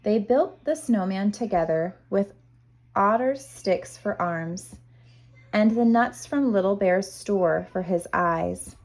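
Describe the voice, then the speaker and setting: Slow-paced woman reading a children's story. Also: medium pitch, a little mellow, rough recording. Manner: measured, simple delivery for young children. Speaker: kindergarten teacher reading aloud. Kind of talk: expressive children's storybook narration.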